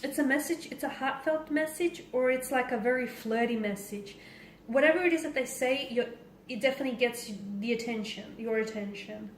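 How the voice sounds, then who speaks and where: A woman's voice: a little high, brisk. A woman giving a conversational tarot reading straight to the viewer, stressing points by repeating and rephrasing them. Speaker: tarot card reader and host. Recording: roomy echo with faint background noise.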